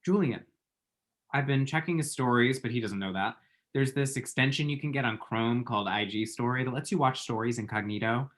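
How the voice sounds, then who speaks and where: A man's voice: medium pitch, fairly quick, fairly bright tone, close to an everyday voice. Man acting a chatty, flippant lovesick young guy. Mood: breezy. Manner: chatty, dry, self-deprecating, pop-culture asides. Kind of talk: screenplay table read.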